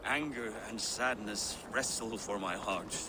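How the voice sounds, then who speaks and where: A man's voice: on the low side, a little slow, background noise, close to an everyday voice. Commanding older Viking king played by a man. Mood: grave, pleading. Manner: commanding, formal speech. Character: stern aging Viking king, commanding, wounded father. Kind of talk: dramatic video game cutscene acting.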